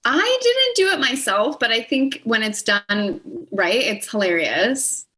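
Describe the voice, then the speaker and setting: A woman's voice: a little high, expressive, measured pace, sharp tone, husky. A young adult woman talking as a guest on a podcast, friendly and chatty. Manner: friendly, chatty, enthusiastic about comedians. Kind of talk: casual chat.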